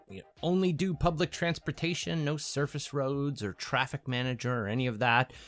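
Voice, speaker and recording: Chatty male gameplay host, medium pitch, casual and explanatory, lifts his voice sharply for emphasis. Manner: chatty, explanatory, with frequent "uh" fillers; lively pitch jumps on emphasis. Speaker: host of a city-building game series.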